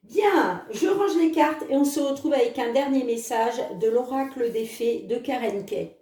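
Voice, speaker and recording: A woman giving an oracle card reading to her viewers, talking in a chatty, friendly flow with many filler words. Her voice sits a little high and sounds mellow. She is set back from the mic in a roomy space. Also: far from the mic. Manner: chatty, fills with "hein" and "les amis"; reads card messages slowly. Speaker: tarot card reader.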